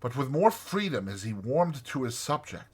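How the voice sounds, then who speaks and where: Expressive, slightly husky male storyteller. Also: medium pitch, moderate pace. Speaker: audiobook narrator. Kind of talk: dramatized storybook narration.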